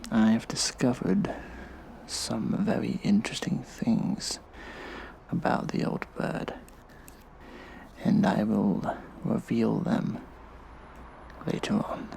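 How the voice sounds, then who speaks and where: A man playing a smug, mischievous hacktivist vigilante in an audio drama, speaking in a hushed, breathy, halting monologue. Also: medium pitch, very animated, slight background noise, full character voice. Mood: gloating, mischievous.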